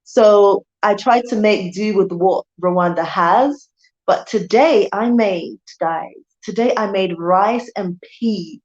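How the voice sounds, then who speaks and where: A woman's voice: medium pitch, a little mellow, lo-fi sound. A woman from Jamaica, chatting casually with her viewers on a livestream, repeating herself and calling them guys. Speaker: channel host.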